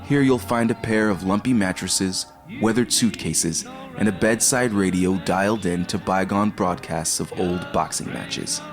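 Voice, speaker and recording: A man narrating an audio drama and reading the credits in a measured, dramatic storyteller manner. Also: deep voice. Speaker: narrator and show host. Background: guitar music.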